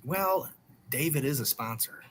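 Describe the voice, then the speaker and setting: A man's voice: mid-range voice, animated, unhurried, a bit bright. A man co-hosting a casual livestream chat show, joking and self-deprecating in banter with his co-host.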